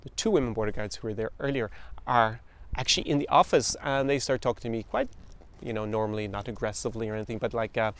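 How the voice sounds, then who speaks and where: A man's voice: mid-range voice, fast, a bit bright. An Irish man in his thirties, hosting a podcast and telling a story from his travels in a chatty, anecdotal way. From Ireland. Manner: anecdotal, quotes others in voices, chuckles. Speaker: podcast host.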